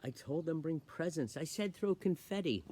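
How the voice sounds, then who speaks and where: A man's voice: fairly high pitch, lively intonation, moderate pace, dark tone, slightly rough, voice pushed up. A man voicing a flustered, dismayed party host in a comic children's poem.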